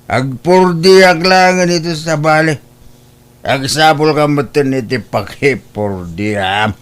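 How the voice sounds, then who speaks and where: A man's voice: fairly high pitch, measured pace, clear, resonant tone, lightly acted. A man playing a proud, scornful older father with a Filipino accent, grumbling and blustering in an Ilocano family radio drama.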